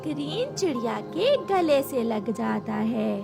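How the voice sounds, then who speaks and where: A woman's voice: on the high side, sing-song, slow, gravelly. A woman narrating a scripted cartoon story for children. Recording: music behind the voice.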